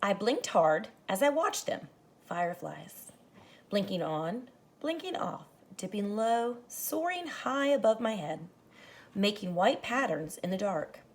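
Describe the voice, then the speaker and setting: A woman teacher reading a picture book aloud to children, expressive and dramatic, speaking to them directly. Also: medium pitch, takes it slowly. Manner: animated, dramatizes the story lines, addresses children directly. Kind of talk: expressive children's read-aloud with explanatory asides.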